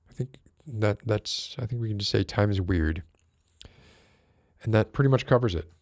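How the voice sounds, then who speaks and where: A man's voice: on the low side, moderate pace, a little mellow. A man in his forties hosting a livestream, musing and rambling in a wry way and greeting viewers in chat by name. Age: 40s. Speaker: livestream host.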